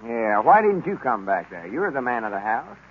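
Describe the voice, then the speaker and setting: A man's voice: mid-range voice, big pitch swings, moderate pace, close to an everyday voice. An actor playing a stubborn, complaining old country man with a rural Southern accent in 1930s radio comedy. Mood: grumbling. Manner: rustic, complaining. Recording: muffled, noisy vintage radio recording.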